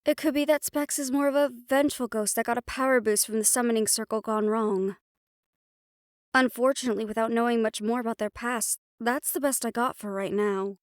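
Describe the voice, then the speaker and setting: A woman's voice: fairly high pitch, steady tone, a little fast, no echo, studio quality, natural voice. A woman playing a protective, knowledgeable occult investigator in an audio drama, explaining things in a reassuring but firm manner. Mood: thoughtful, explaining. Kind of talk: audio roleplay acting.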